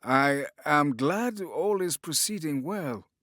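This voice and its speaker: A man's voice: a little high, lively intonation, slow pace, a bit bright, dry recording, pitched above the performer's own voice. A smug, calculating conspirator with a thick foreign accent , put on by a male narrator. He is an audiobook villain, heard in a dry, close recording.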